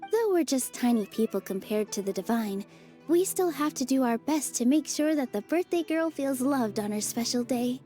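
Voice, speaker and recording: A woman voicing a graceful young dancer in a game, telling an old legend gently. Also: high-pitched, expressive, normal speed, soft background music. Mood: storytelling. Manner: gentle storytelling. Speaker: festival dancer. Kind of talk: game cutscene voice acting.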